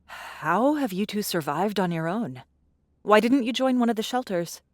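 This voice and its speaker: A woman's voice: medium pitch, expressive, moderate pace, no echo, clean studio sound, lightly acted. A woman playing a bitter, guarded survivor in an audiobook drama, with a blunt, wary, world-weary delivery. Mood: wary, probing.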